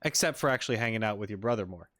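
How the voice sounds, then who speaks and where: A man's voice: lowish voice, expressive, very fast, a bit bright, no echo. Casual male gaming streamer, dry close sound. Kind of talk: casual game playthrough commentary.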